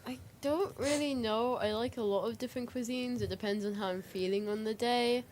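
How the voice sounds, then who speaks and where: A woman's voice: a little high, a little fast, a little mellow. A woman co-hosting a casual chat, talking hesitantly with lots of filler words. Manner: hesitant, frequent "like" hedging. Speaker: co-host. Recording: faint background noise.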